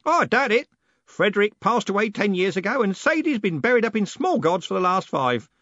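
A man voicing a loud, blunt, hearty old male merchant who bellows every line. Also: very animated, fairly quick, no echo, muffled recording. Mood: booming hearty. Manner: bellowing, booming.

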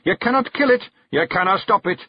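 A male narrator voicing a small, fierce, excitable fairy clan chief with a Scottish accent, high, loud and flustered. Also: a little fast, breathy edge, no echo, lo-fi sound.

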